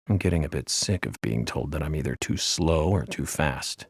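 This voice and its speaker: Deep, gravelly musing detective. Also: male voice, animated, normal speed, dry recording, studio quality, full character voice. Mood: musing, confiding. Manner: musing, confiding in an unseen companion. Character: musing, self-assured male federal agent. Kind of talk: video game cutscene acting. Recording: clear background noise and ambience.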